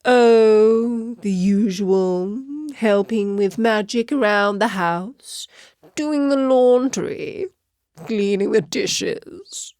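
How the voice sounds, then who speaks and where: A woman's voice: mid-range voice, very slow, darkish tone, dry recording. A woman voicing a world-weary, slyly evasive male house cat in a storybook, drawling and put-upon.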